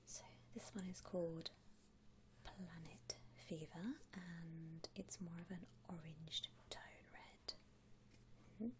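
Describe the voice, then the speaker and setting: A woman playing a gentle vampire coffin saleswoman in a whispered ASMR roleplay, unhurried, with hesitant pauses and soft hums. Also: fairly low pitch, fairly even intonation, noisy background. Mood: soft, confiding. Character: softly whispering female vampire coffin saleswoman, attentive and discreet. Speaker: ASMR performer playing a shop consultant.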